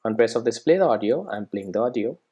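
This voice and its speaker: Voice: mid-range voice, lively intonation, fast talker, dark tone, breathy edge. Coding instructor explaining step by step. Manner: frequent check-in tags like "right?" and "okay". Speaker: programming tutorial instructor. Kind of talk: casual screen-recorded coding tutorial, explaining step by step.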